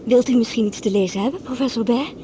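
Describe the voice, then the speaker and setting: A woman acting as a courteous airline stewardess in a radio drama. Her voice is high and she speaks with hesitant, inquisitive politeness. A loud rumble sits behind every word. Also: fast talker, natural voice. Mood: polite. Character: polite, curious young female air stewardess. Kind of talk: Dutch radio play acting.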